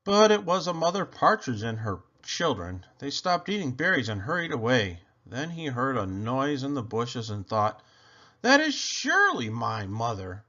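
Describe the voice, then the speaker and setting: A man narrates a children's storybook with an expressive, animated delivery. His pitch is mid-range and moves up and down freely, with deliberate pauses. The recording is a little dull and lo-fi. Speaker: children's book reader. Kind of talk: scripted storybook narration, read aloud.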